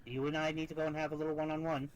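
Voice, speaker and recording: A man's voice: fairly high pitch, little pitch movement, rapid-fire. A man playing in an online roleplay game, talking casually in character. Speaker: roleplay player. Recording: noisy online voice chat.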